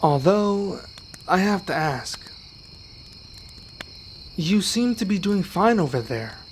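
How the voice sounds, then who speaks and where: Man acting earnest young werewolf, unhurried, lightish voice. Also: high voice, very animated, slight background noise, exaggerated voice. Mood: hesitant, curious. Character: earnest, grateful young male werewolf learning magic. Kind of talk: ASMR roleplay acting.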